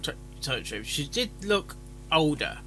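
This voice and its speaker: A man giving casual off-the-cuff commentary as a reaction host, halting and repeating himself. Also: a little high, very animated, sharp tone, slight background noise, poor audio.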